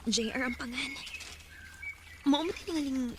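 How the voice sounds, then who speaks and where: A young Cebuano woman in a radio drama, high-voiced and modest. She is soft-hearted and easily taken by surprise. Clear background noise runs under her voice. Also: a little slow. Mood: puzzled. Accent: Filipino, Cebuano Bisaya. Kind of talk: Bisaya radio drama acting.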